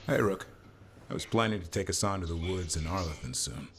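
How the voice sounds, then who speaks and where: An easygoing warrior from a video game, with a notably deep voice. He talks casually and without fuss, over audible background noise. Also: brisk, a bit bright. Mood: relaxed, friendly. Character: easygoing male warrior companion fond of his animal.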